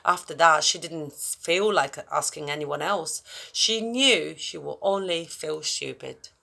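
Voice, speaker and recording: Woman reading a children's story, creaky low voice. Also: measured pace. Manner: expressive, addressing young listeners directly. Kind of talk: read-aloud children's story with chatty asides.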